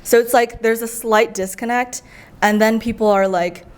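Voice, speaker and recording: A woman from the United States, a guest in a casual conversation, chatty and animated as she tells her experiences. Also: a little high, normal speed, clean tone. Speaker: guest, traveler.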